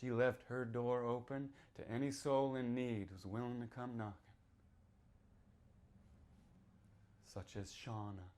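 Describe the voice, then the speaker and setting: A man performing a sly small-town local who tells a witch legend, theatrical and confiding, moving between hushed and declaimed delivery. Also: medium pitch, flat delivery, moderate pace, voice pushed down, close to an everyday voice. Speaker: author reading from own novel. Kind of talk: dramatic live reading of a horror story.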